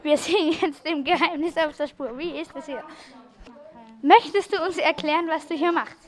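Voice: high-pitched, normal speed. A child reporter doing a live on-location interview, putting questions to people.

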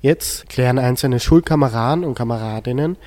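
A man's voice: medium pitch, a little fast, a little mellow. A teenage male student with an Austrian accent, moderating a discussion on a school radio show.

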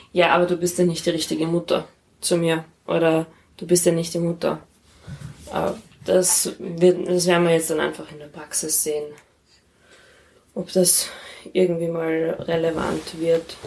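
A woman's voice: lowish voice, slight background noise. A woman talking thoughtfully and candidly in a conversational interview. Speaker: interviewee, parent in a polyamorous family.

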